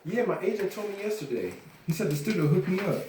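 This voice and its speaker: A man's voice: high-pitched, sing-song, quick pace, darkish tone. A man reading the part of an eager, easygoing young film actor at a script table read. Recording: roomy, distant mic, faint noise, clicks.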